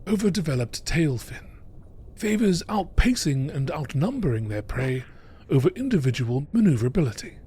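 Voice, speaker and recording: A man reading scientific entries aloud as scripted narration in a nature documentary style, measured and carefully enunciated. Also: on the high side, darkish tone, breathy edge.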